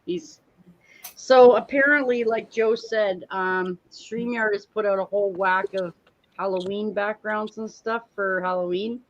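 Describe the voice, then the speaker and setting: A woman hosting a casual live-streamed watch party, chatty and quick to laugh. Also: mid-range voice, steady tone, lo-fi sound. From Canada. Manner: chatty, laughs often, repeats herself.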